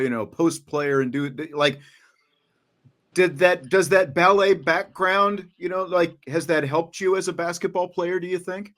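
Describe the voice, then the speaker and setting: A man's voice: a little high, fairly bright tone. A man interviewing a young athlete, asking long, rambling questions and often restarting his sentences. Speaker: interviewer, radio play-by-play announcer. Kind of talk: conversational interview.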